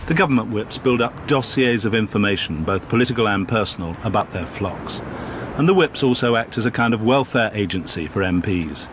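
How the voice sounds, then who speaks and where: A man's voice: fairly low pitch, expressive, a little fast, noisy background. A man narrating a scripted television political report. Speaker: documentary narrator. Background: loud background music.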